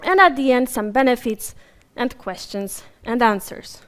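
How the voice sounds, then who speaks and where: A woman's voice: fairly high pitch, animated, measured pace, a bit bright, clean tone. Chatty Polish woman presenting on stage. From Poland. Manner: chatty and apologetic, with frequent fillers and restarts. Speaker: conference speaker. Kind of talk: live conference presentation. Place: conference room with a live audience. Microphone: handheld or stage microphone while moving around.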